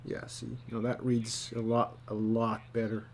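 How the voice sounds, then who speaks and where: A man giving a casual drawing tutorial, thinking aloud in a halting, muttering way as he works. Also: medium pitch, completely flat delivery, slight background noise. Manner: halting, muttering to himself while working. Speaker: storyboard artist, tutor.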